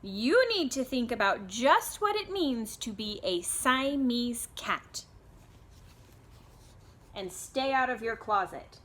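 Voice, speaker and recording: A woman's voice: fairly high pitch, animated, slow, fairly bright tone, heavily acted. Woman reader as a scolding storybook cat mother, stern and bossy.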